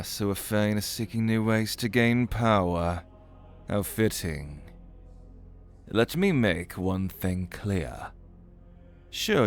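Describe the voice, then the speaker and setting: A man's voice: sits low, creaky, exaggerated voice. A man playing a cold, haughty young nobleman who leads an academy society, speaking formally and with disdain in a fantasy audio roleplay.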